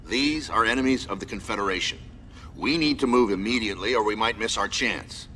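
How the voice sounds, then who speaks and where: A man's voice: fairly low pitch, fairly quick, bright, forceful tone, slight background noise. A man playing a stern military commander who briefs his squad in a video game, formal, clipped and authoritative. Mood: stern, businesslike. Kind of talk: video game cutscene acting, mission briefing.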